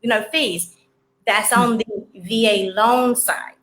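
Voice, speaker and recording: A woman's voice: a little high, fairly even intonation, slow pace, a bit bright, rough recording. A woman hosting a casual live show, chatty and rambling, often laughing as she talks. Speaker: host.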